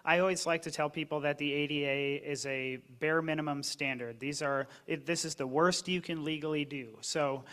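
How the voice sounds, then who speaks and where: A man speaking on a panel, his voice sitting a little high. He explains things in an earnest, patient way. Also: fairly even intonation, normal speed, few pauses, fairly bright tone. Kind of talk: panel discussion.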